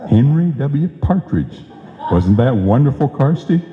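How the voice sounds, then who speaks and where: A man's voice: medium pitch, very animated, measured pace. A man playing a blustering, folksy husband and tall-tale-telling bumbler in an old-time radio comedy, with slangy, exclamatory talk. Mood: boastful. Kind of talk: old-time radio comedy acting before a live audience. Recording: dull, echoey live hall recording.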